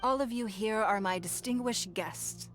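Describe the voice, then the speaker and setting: A woman's voice: on the high side, a little slow, fairly bright tone. A woman playing a dignified, gracious noblewoman leader in a video game, composed, eloquent and formal. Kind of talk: scripted video game character acting. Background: music.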